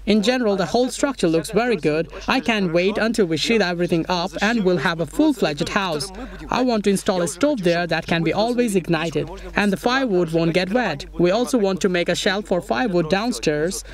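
A man's voice: high-pitched, expressive, fairly quick, a crowd in the background. A young man explaining a building project step by step in a casual outdoor vlog in a forest. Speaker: vlogger building a treehouse.

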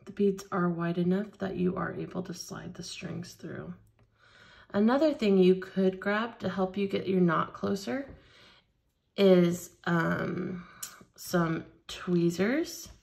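A woman leading a hands-on beading tutorial at a craft table, explaining each step slowly and patiently. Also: lowish voice, vocal fry, a little mellow. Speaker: craft instructor.

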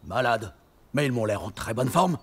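A man voicing a big, grumpy, haughty wolf in a French animated film, with a dry, formal, menacing manner. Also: medium pitch, normal speed, background noise, close to an everyday voice.

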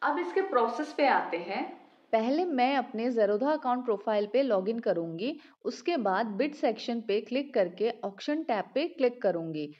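A woman hosting a finance channel, giving a steady, step-by-step scripted explanation. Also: on the high side, normal speed. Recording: roomy, slightly dull home recording.

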